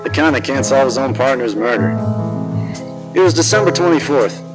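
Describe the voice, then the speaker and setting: A man's voice: medium pitch, animated, normal speed, lightly acted, poor audio. Guy doing a grumpy world-weary noir detective, old radio play narration, music under it. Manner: wisecracking, slangy, world-weary. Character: cynical, grumpy, hard-drinking private detective. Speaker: actor narrating as lead detective.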